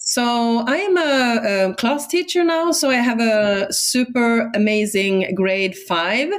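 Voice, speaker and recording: A woman's voice: a little high, slow pace, clean tone. Swedish woman, enthusiastic podcast guest. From Sweden. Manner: enthusiastic, restarts words often. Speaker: guest teacher. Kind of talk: casual interview chat.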